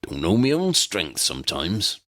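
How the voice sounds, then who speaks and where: A man's voice: low-pitched, wildly swooping pitch, measured pace, pushed and bright, exaggerated voice. A man voicing a big, friendly, hearty half-giant in a storybook reading. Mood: apologetic.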